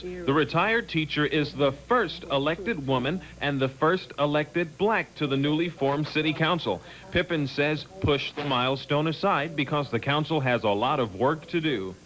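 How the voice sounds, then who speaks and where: A man's voice: on the high side, moderate pace, a bit bright, low-quality recording. A man narrating a scripted local television news report as a reporter. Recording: 1980s TV broadcast with background din.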